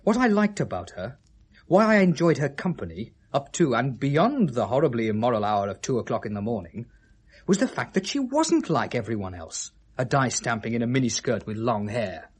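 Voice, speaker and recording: A man's voice: medium pitch, very animated, moderate pace, breathy edge. An actor in a 1970s British radio drama playing a defensive, articulate Englishman with a dry, precise, prickly manner. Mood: defensive, reflective. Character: defensive, articulate man questioned about dead young woman.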